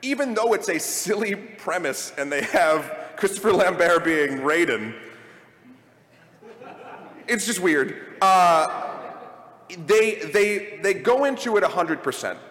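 A man with a slightly high voice rambles through a jokey live panel, stopping to correct himself mid-sentence. He is recorded in a convention hall, the sound roomy, with a long reverb trailing behind his words. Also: animated, slow, fairly bright tone. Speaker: podcast host on a live panel. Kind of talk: casual panel talk before a live audience.

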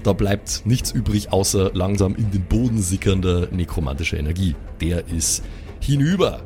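A man's voice: low-pitched, lively intonation, normal speed, dark tone. A man improvising colloquial, dramatic narration as game master of a pen and paper audio play.

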